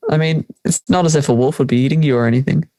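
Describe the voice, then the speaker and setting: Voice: medium pitch, fairly even intonation, fast, mellow, dry recording, natural voice. A teenage performer playing a carefree, teasing school friend in conversational audio drama dialogue. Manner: laid-back, teasing.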